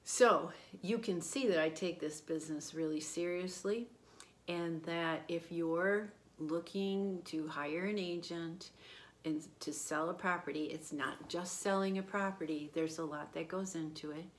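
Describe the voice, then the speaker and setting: A woman in her fifties speaks straight to the camera from a room at home, her voice sitting a little low. She works through her points in order with steady confidence, like an agent pitching her services. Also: a little slow. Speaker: real estate agent. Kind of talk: promotional talk to camera. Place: indoor home room by a window.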